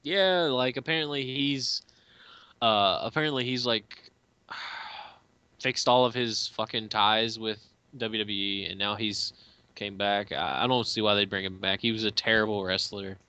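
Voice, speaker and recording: A man's voice: fairly low pitch, a little slow, bright, forceful tone, smooth, no echo, dull audio. A man co-hosting a casual fan movie commentary, joking profanely and slipping into mock quotes. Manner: crude, profane, breaks into mock voices and quotes.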